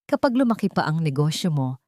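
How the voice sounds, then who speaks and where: A woman voicing a gentle, confident male lawyer in an audio love story, measured, with a Filipino accent. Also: sits low, big pitch swings, no room sound, clean studio sound. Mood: gently admiring. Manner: soft-spoken, measured.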